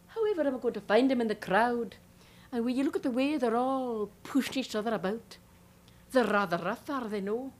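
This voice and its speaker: A woman's voice: a little high, a little mellow, natural voice. A woman playing a chatty, hopeful young Scottish immigrant bride-to-be with a Scottish accent, in a one-woman monologue. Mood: anxious. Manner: earnest, chatty, rambling.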